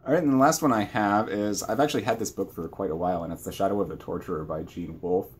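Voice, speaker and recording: Low, husky-voiced man chatting. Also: quick pace. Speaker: book channel co-host. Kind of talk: casual book chat.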